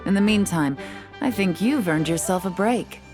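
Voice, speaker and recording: A woman voicing a calm, composed female intelligence agent who explains things in a measured, dry way, in a video game. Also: medium pitch, natural voice. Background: soft game music.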